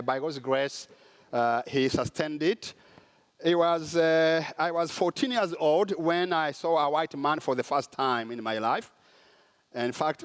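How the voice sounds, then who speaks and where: A man with a Rwandan accent speaks from a conference stage in a preaching style. His voice sits a little high, and he often stops to search for the next word with an "uh.". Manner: halting, with many "uh" pauses. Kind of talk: sermon-style conference talk. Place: large conference hall.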